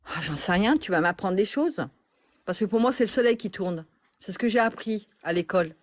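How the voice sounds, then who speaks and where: A woman's voice: mid-range voice, animated, brisk, dry recording. A woman calling in to a live stream, answering hesitantly with many restarts. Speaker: caller. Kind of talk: live call-in chat.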